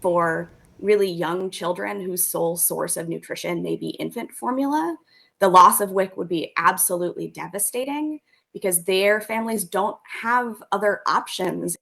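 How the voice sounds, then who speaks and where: A woman expert gives an interview soundbite in a serious, concerned tone. Her mid-pitched voice has a gravelly fry throughout. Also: moderate pace, a bit bright.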